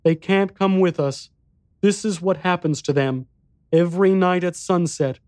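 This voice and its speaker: Man voicing a high-pitched, solemn little boy. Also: unhurried, a little mellow, dry recording. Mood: urgent. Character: solemn, frightened little boy in a haunted house. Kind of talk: audiobook character dialogue.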